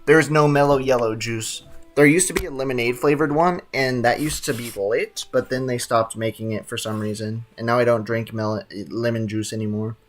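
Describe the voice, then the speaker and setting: Joking sarcastic young streamer. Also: male voice, mid-range voice, fairly even intonation, fairly quick, faint music behind. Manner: joking, sarcastic, laughs often. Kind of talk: gameplay livestream commentary.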